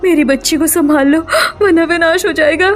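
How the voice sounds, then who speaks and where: A woman's voice: very high voice, fairly even intonation, moderate pace, faint music behind, voice pushed up. A woman voicing a desperate mermaid mother in a cartoon story, pleading and plaintive.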